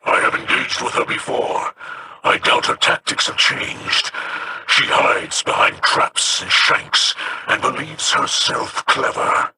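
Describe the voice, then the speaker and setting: An actor voicing a stern, dignified male alien elder in a video game, measured and formal. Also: pushed and bright, a little hiss or hum, rough recording. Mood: grave.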